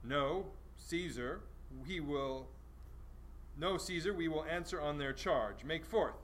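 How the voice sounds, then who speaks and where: A man's voice: a little high, lively intonation, slow, a bit bright. A man playing a hot-blooded, scornful Roman general in an amateur dramatic Shakespeare reading, with a theatrical, taunting manner. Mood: confident. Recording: faint background room noise.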